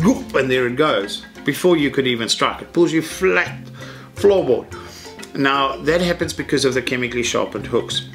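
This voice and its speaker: A middle-aged man, teaching a fishing rig step by step in a practical, matter-of-fact way from an indoor tackle room. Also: mid-range voice. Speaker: fishing instructor. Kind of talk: hands-on tutorial.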